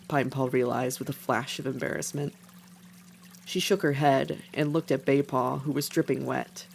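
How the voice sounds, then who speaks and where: Fiction narrator, scripted reading, medium pitch, faint background noise. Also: creaky.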